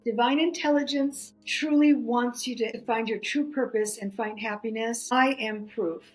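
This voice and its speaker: A middle-aged woman whose first language is Greek, a podcast guest telling stories in a lively way, full of restarts and "you know". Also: fairly high pitch, a little slow, faint music behind, poor audio. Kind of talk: conversational storytelling.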